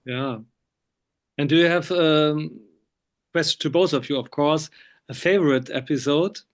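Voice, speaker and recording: German guy doing a film interview, slightly high clean voice, feels his way through english. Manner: halting, hesitant non-native English. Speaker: interviewer, film critic and magazine publisher.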